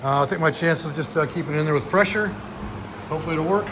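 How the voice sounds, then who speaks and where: A man working in a metal shop, explaining each repair step aloud in a casual, rambling way with lots of fillers and speaking up loudly. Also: a little high, fast, noisy background. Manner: speaks up loudly over the rain. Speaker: mechanic and channel host. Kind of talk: casual garage how-to narration. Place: metal shop building during rain. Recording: loud rain drumming on a metal roof.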